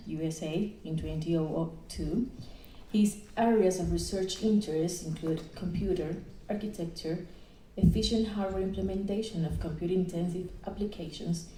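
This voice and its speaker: Dark-voiced woman reading a formal introduction. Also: lowish voice, slight background noise. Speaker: session host introducing speaker. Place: conference hall. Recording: echoey hall, heard from a distance.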